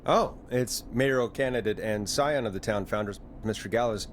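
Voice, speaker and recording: An actor playing a calm late-night radio host in a video game, with composed, showy announcer patter. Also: male voice, on the low side, normal speed, slight background noise, lightly acted.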